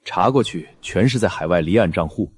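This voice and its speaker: Man playing a deep, calculating underworld boss. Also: lively intonation, fairly quick. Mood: probing, calculating. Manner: controlled, probing, sardonic. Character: cool, calculating, powerful male underworld boss. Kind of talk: scripted audio drama acting.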